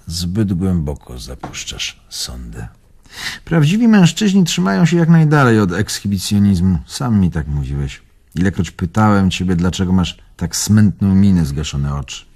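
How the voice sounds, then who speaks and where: Bitter old bon vivant, radio play, deep voice that swings up and down a lot, theatrical. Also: male voice, very animated, moderate pace, a little mellow, slightly rough, full character voice. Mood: guarded. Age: older. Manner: theatrical, musing, swinging between murmur and outburst. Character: bitter old bon vivant, wounded, evasive former impresario. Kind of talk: radio drama acting.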